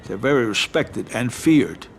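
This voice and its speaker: An actor playing a level-headed middle-aged mob lawyer and advisor who speaks calmly and in a measured way in a game cutscene. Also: male voice, medium pitch.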